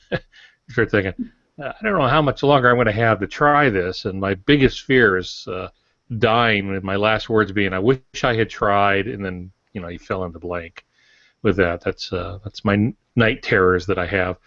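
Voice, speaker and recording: An older man chatting reflectively as a podcast co-host, unhurried and hesitant with frequent pauses. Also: lowish voice, fairly bright tone, dry recording. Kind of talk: casual reflective storytelling. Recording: dry but dull lo-fi audio.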